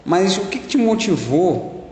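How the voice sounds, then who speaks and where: A man's voice: high voice, sing-song, a little slow, darkish tone. Man as casual interviewer; rambles and rephrases; echoey room, faint noise.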